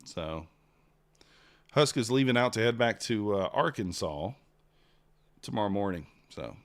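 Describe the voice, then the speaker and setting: A man's voice: lowish voice, a little slow. A man, hosting a live trading stream in casual chat, rambling and replying to chat. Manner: relaxed, rambling, reads chat aloud. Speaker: livestream trader host.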